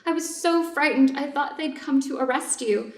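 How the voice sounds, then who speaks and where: A woman's voice: high voice, normal speed. A woman playing an anxious, devoted doctor's wife in a reader's theater piece, earnest and careful in her delivery. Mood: anxious, relieved. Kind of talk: reader's theater stage reading. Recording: echoey room, distant mic.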